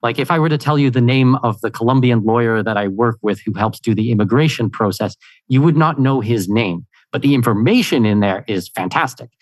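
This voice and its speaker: A man from Canada, a podcast guest answering questions in an upbeat, energetic way, with plenty of "you know" fillers. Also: medium pitch, fairly quick, no room sound. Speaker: guest, expat consultant. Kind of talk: conversational interview answers.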